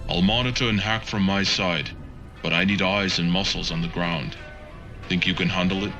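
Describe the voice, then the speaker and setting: An actor playing an earnest, insistent tech ally of a hero in a sci-fi video game. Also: male voice, low voice, steady tone, moderate pace, highs cut off, music in the background. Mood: businesslike. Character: earnest, pressing male tech ally of vigilante. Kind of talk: dramatic game acting.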